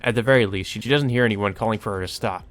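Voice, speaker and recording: Deeper-voiced man reading a visual novel. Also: fast talker, a bit bright, soft background music. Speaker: Let's Play host. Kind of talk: reading a visual novel aloud with casual commentary.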